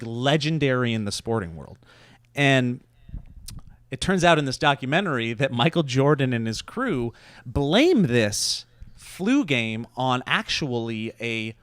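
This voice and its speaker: A man telling stories and pitching ideas casually on a podcast, digressive and loosely conversational, with some swearing. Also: a bit bright, no room sound. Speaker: podcast host. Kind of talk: casual comedic storytelling and pitching.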